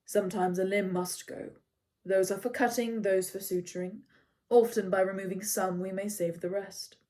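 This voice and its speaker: A woman voicing a stern ancient male centaur teacher who speaks in a neutral, calm and factual way. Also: medium pitch, moderate pace, close to an everyday voice. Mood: measured.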